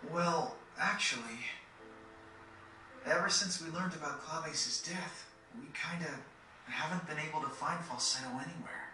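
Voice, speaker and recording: A man's voice: a little high, unhurried, sharp tone. A man voicing a cocky, plain-spoken teenage boy adventurer in a video game, casual and slangy. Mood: troubled. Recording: very echoey, distant game capture with noise.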